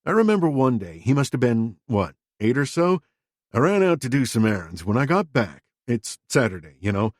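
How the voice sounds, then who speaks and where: A man's voice: mid-range voice, very animated, normal speed, no echo, studio quality. A man voicing a crude, defensive middle-aged father who talks in a blunt, profane, self-justifying way. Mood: gruff, candid.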